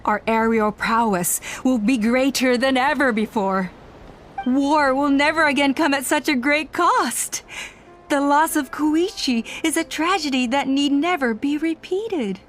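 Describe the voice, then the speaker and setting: Brief: middle-aged scheming elder in a game, slightly high voice, calm persuasive command. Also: female voice, a little slow, slight background noise. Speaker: tribe elder.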